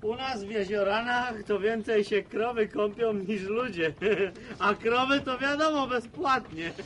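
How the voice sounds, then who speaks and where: High-pitched, cheeky village joker. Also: male voice, recorded from a distance, noisy background. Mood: amused, teasing. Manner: earthy, jocular, colloquial village talk. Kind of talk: Polish radio serial acting.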